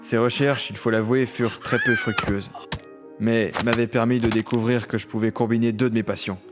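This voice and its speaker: A man's voice: medium pitch, huge pitch swings, moderate pace, loud music behind the voice. An actor playing a tormented criminal who tells his own story in a solemn, literary way in an audio drama. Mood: brooding. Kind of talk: scripted audio drama narration and dialogue.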